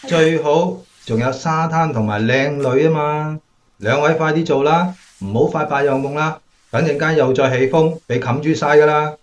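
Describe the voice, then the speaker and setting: A man's voice: on the high side, steady tone, moderate pace, natural voice. A man playing an easygoing young airman in a Cantonese radio drama. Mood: teasing. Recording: slightly dull sound, faint music.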